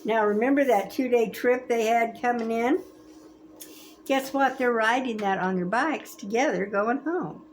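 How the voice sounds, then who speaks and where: A woman in her seventies reading a children's story aloud in a gentle, expressive, grandmotherly way. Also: fairly high pitch, a little slow, a little hiss or hum. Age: 70s. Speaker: storybook reader.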